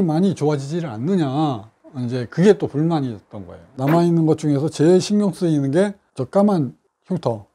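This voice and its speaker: A middle-aged man, a clinic doctor, calmly explaining a patient's treatment case in his office. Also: a little high. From South Korea. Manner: measured, explanatory, with frequent fillers.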